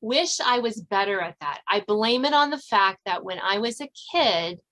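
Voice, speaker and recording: A woman's voice: medium pitch, expressive, normal speed, bright, forceful tone. A woman hosting a podcast, chatting casually in a chatty, self-deprecating way. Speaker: podcast host. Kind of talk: casual interview chat.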